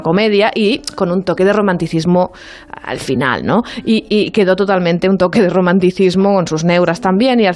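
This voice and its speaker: Chatty woman on radio, lowish voice. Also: a little mellow. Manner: conversational, repeats words, jokey. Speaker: radio film segment contributor. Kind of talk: casual radio chat. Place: radio studio.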